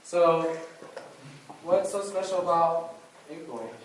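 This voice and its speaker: A man presents informally to an audience in a very echoey room, picked up far from the mic. He speaks in a casual, slightly halting way at a medium pitch, with faint noise behind him. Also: fairly even intonation. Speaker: puppet show presenter at a church. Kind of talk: casual address to an audience, questions and prayer. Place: church hall, recorded from the audience area.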